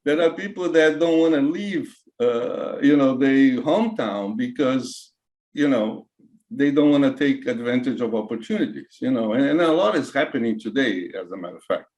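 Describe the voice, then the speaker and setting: A Brazilian man in his sixties with a Brazilian Portuguese accent, whose first language is Portuguese, talks as a retired tech executive on a podcast. He speaks in halting bursts, circling back with "uh" and "you know" before landing on a point. His voice sits at a middle pitch for a man. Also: animated, darkish tone, dull audio. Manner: halting, many fillers and restarts. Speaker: guest, retired CIO. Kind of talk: casual interview answers.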